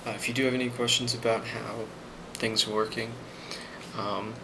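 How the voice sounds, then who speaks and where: A man playing a friendly, rambling office boss in an ASMR role play, speaking softly and hesitantly with a reassuring manner and a creaky voice. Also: fairly low pitch, flat delivery, normal speed. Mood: calm, reassuring. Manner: soft-spoken, hesitant, reassuring. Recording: loud constant background noise.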